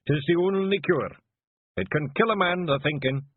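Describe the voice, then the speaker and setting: A man's voice: fairly high pitch, moderate pace, breathy edge, voice pushed up. A man doing Big Yan, a blunt Scottish fairy warrior. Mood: matter-of-fact. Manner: blunt, matter-of-fact, broad dialect. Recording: phone-like narrow band.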